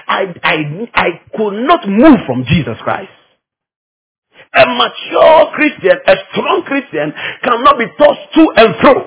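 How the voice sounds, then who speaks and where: A man's voice: very high-pitched, fairly bright tone, slightly rough, poor audio. A man from Ghana with a Ghanaian accent preaching a teaching sermon, emphatic and repeating phrases to drive them home.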